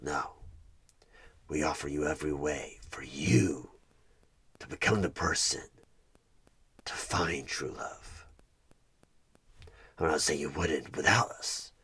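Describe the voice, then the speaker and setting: Very deep, breathy demonic tempter. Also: male voice, sharp tone, heavily acted. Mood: persuasive, menacing. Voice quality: husky, breathy, half-whispered. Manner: slow, deliberate, coaxing with dramatic pauses. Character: sinister male evil recruiter bargaining for souls, smooth-talking tempter. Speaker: audio roleplay performer. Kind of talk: creepy ASMR horror roleplay monologue.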